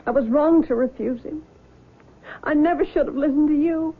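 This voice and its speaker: An actress playing a grieving, guilt-ridden and stubborn young daughter, with emotional, dramatic radio drama delivery. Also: female voice, high-pitched, normal speed. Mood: guilty, resolute. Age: young adult. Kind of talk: old-time radio drama acting. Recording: tinny, narrow phone-like radio recording.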